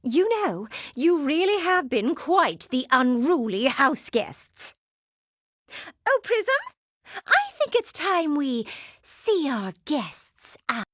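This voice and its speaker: A woman voicing a vain, gushing gem queen villain in an animated game, theatrical and condescending. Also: high-pitched, slow pace, dry recording, studio quality, exaggerated voice. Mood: haughty, menacing.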